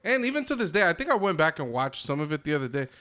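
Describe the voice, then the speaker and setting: A man's voice: on the high side, sing-song, racing, bright, forceful tone. A man co-hosting a podcast, giving blunt, slangy opinions with swearing in casual chat. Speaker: podcast co-host.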